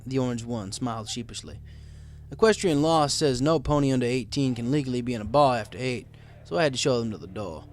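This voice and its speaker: A man's voice: medium pitch, fairly quick. A man reading a story aloud as first-person narrator.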